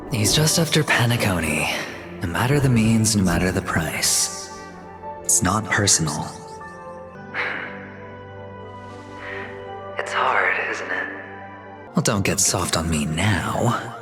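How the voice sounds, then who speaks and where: An actor playing a smooth, theatrical, world-weary young gambler in a video game, speaking deliberately with dramatic pauses. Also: male voice, deep voice. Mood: mocking. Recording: heavy echo, long reverb, music underneath. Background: music.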